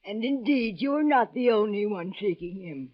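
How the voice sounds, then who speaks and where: A woman's voice: mid-range voice, expressive, moderate pace, lightly acted. An actress playing a gleeful, greedy, wizened old woman desk clerk in an old-time radio drama, with florid, theatrical phrasing. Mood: sly, haggling. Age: older. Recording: dull, lo-fi old radio recording.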